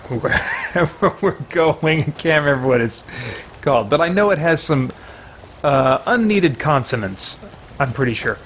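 A man's voice: a little high, animated, a little slow, fairly bright tone. A middle-aged man giving casual let's play commentary as a gaming host. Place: indoor room with bookshelves. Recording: faint noise, game ambience behind.

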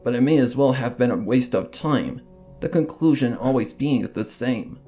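A man's voice: mid-range voice, expressive, moderate pace, mellow. Male narrator for dramatic fan fiction, mid-range voice, music bed underneath. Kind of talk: scripted dramatic story narration in first person. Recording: guitar music behind the voice. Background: guitar and plucked string music.

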